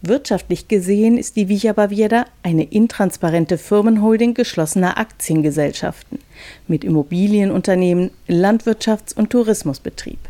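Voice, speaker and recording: A woman's voice: medium pitch, mellow. A woman reading scripted documentary narration as a reporter.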